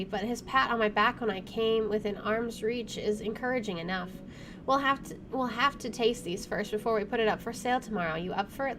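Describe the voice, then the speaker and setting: A woman doing let's play commentary, reading game text aloud in an expressive, dramatic way. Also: mid-range voice. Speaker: video game streamer. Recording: background noise and game ambience.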